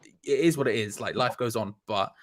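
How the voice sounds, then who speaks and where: A man's voice: mid-range voice, moderate pace, a crowd in the background. A man hosting a casual live fan stream, talking in a chatty, rambling way with lots of restarts. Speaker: host of a fan show.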